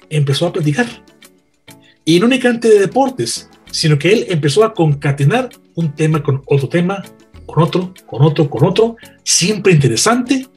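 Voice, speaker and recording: A husky-voiced Mexican man, a veteran announcer, spins earnest radio anecdotes in a fairly high register. Faint music plays behind him. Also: darkish tone. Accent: Mexican Spanish. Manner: storytelling, earnest and didactic. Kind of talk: anecdotal conversation.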